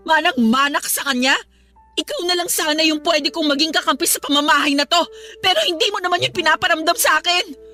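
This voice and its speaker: A woman's voice: extremely high pitch, pushed and bright. A woman acting a hurt, defiant young mother in a radio drama, emotional and indignant as she argues with her partner.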